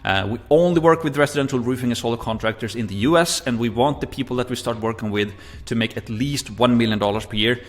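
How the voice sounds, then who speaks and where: A man's voice: medium pitch, quick pace, few pauses. A man from Sweden with a Swedish accent, teaching an online marketing course and often dropping "essentially" and "right?". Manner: frequent fillers, "essentially" and "right?". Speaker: course instructor. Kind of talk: recorded marketing lesson.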